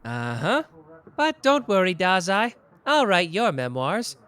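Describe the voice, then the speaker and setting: Casting a performer for a boastful, playful young male sleuth, very animated delivery, soft ASMR roleplay. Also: mid-range voice, slow pace. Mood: teasing. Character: smug young male genius detective, candy-loving.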